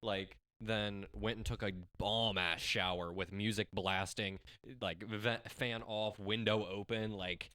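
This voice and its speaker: A young man telling a rambling, halting story in a casual podcast. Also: on the low side, fairly even intonation, pushed and bright, clear, resonant tone, no echo. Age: young adult. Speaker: podcast co-host.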